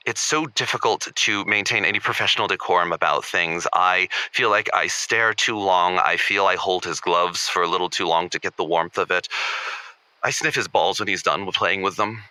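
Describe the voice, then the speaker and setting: Man playing a bright, anxious lovestruck butler trainee. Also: low voice, moderate pace, dry recording, rough recording. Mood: smitten, embarrassed. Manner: earnest, hesitant, overwrought. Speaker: caller. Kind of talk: improvised comedy phone-in.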